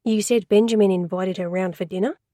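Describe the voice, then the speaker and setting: A woman voicing a patient male investigator with an Australian accent, who asks gentle, probing questions in a storybook reading. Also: medium pitch, fairly even intonation, fairly quick, no room sound, studio quality, natural voice. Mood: calm.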